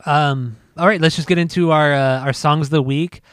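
A man's voice: a little high, steady tone, normal speed, fairly bright tone, clear, resonant tone, no room sound, studio quality. A man co-hosting a podcast, chatting casually and swearing while joking with his friend. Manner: laid-back, swearing, joking banter. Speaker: podcast co-host. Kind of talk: casual chat.

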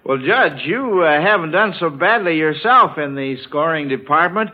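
A man's voice: a little high, expressive, normal speed, muffled recording. An American man hosting a quiz show in a scripted 1940s radio announcing style, on an old broadcast recording.

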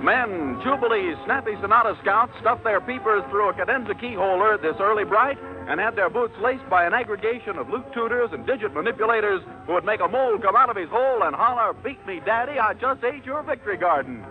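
A man with a high-pitched voice delivers theatrical announcements in the slangy jive style of 1940s radio. The sound is thin and narrow, like an old broadcast, with music behind him. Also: expressive, a little fast, hardly any pauses. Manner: theatrical, showy patter. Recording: tinny band-limited old radio recording, music behind.

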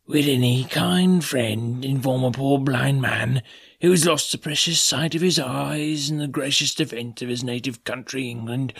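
A man's voice: medium pitch, vocal fry, husky, no echo, voice pushed up, heavily acted. A man doing a cruel blind old beggar. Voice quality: soft-spoken, cold, sing-song whine. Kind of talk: audiobook character voice.

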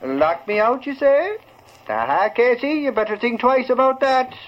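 Blustery newspaperman, very animated, old dull radio recording. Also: very high voice, moderate pace. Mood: cheerful, boastful. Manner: blustery, exclamatory. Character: blustering Irish-American newspaper reporter, good-hearted and excitable. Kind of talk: 1940s radio acting.

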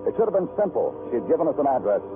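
An American actor playing a wry, weary police detective who narrates his case in a deliberate, clipped way, in old-time radio crime drama style. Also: medium pitch, sing-song, phone-quality sound, over music, natural voice. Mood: wry, brooding. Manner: deliberate, clipped, hard-boiled poetic narration.

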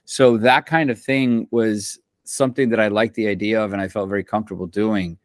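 A man's voice: on the low side, fairly even intonation, a little fast, no echo, clean studio sound. A man who composes for film and television, talking in a loose, rambling way, full of slang and restarts, in a casual interview. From Central America.

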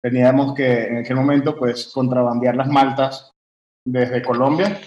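A man's voice: medium pitch, steady tone, moderate pace, a little mellow, muffled recording. A man born in Venezuela, a brewer telling his story in a conversational interview, rambling with many fillers and restarts. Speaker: guest brewer. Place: warm room with fans running. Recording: echoey room, faint background hum.

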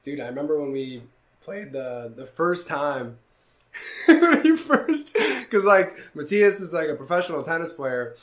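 A young man with a somewhat high voice tells stories in a loose, animated way. He swears freely and leans on "like" constantly. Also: measured pace. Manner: animated storytelling, swearing, frequent "like". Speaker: podcast host. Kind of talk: casual chat.

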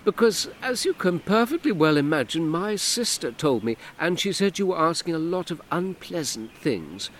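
A man doing a curt suspicious woman official, high lifted voice, lively swoops, clipped defensive replies. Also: moderate pace, few pauses, slight background noise. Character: brisk suspicious woman civil servant.

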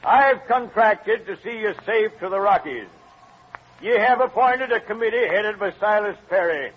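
An actor playing a gruff, commanding wagon train guide with a way of speaking, in an old-time radio western. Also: male voice, high-pitched, normal speed, close to an everyday voice. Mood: stern, grave. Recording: dull vintage radio recording, noisy background.

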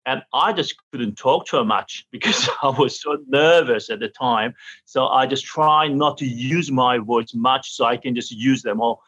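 A middle-aged opera singer from South Korea, answering interview questions in halting English and laughing often. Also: male voice, mid-range voice, a bit bright, lo-fi sound. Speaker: opera singer and vocal teacher.

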